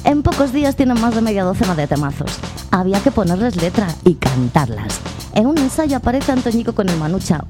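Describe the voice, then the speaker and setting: A woman narrating a scripted radio piece in a wry, deadpan way. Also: mid-range voice.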